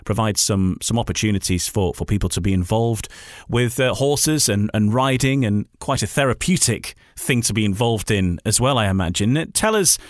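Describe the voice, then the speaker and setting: A man's voice: lowish voice, moderate pace, dry recording, studio quality. A man hosting a radio interview in a conversational, chatty way, prompting his guest with questions.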